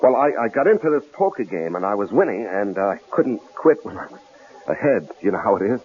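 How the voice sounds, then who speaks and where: Cautious, flustered American husband, animated and hesitant, 1970s radio play with narrow, faintly hissy sound. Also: male voice, fairly low pitch, a little fast. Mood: flustered, apologetic. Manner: hesitant, stammering, pleading. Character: anxious, apologetic husband, cautious and easily flustered. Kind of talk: 1970s radio drama acting. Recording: tinny, lightly noisy old radio broadcast.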